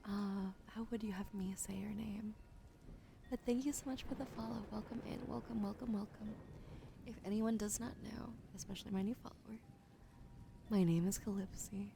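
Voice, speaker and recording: A woman streamer chatting with viewers during an ASMR livestream, often whispering softly, close to a sensitive studio mic. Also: mid-range voice, fairly even intonation, gravelly, noisy background. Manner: often whispering, soft and unhurried. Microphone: sensitive studio mic on a boom arm.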